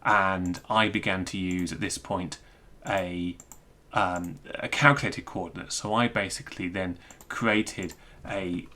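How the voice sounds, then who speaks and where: A man giving an informal technical screencast walkthrough, thinking aloud in a halting, self-interrupting way. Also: low voice, steady tone, pushed and bright. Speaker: presenter explaining technical material.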